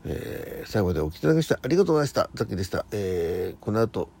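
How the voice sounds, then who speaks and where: A man in his sixties hosting a casual solo radio chat, rambling haltingly and often correcting himself as he goes. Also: on the low side, very animated, creaky, warm tone, breathy edge. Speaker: host of a morning audio diary show.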